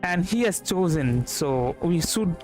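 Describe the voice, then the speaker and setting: A man giving casual game commentary, reasoning aloud in a halting, repetitive way. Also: a little high, expressive, darkish tone, faint music behind. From India. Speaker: vtuber game streamer.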